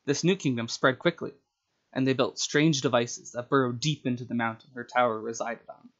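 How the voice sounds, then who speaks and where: A man with a medium-pitched voice hosts a casual story-time livestream. His microphone sounds a little dull and lo-fi, and his delivery is that of someone sharing his own writing with viewers. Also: fairly even intonation, normal speed. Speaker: streamer reading own short stories.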